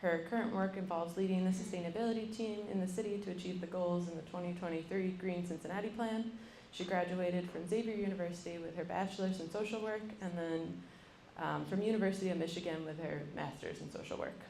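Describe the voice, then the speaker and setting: A woman's voice: fairly low pitch, fairly even intonation, fast talker, creaky, few pauses, a little mellow, a little hiss or hum. Woman moderator in an echoey room. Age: young adult. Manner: frequent ums, friendly. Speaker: panel moderator. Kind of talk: introducing panelists. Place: lecture room. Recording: roomy, mic from a distance.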